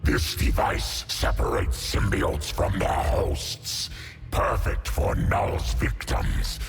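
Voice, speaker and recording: An actor voicing a menacing alien symbiote monster in a video game, with a gravelly, growling rasp and theatrical delivery. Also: male voice, sits low, full character voice. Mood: menacing, possessive. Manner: slow, theatrical, speaks as "we". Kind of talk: video game character voice lines. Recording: game music over the voice.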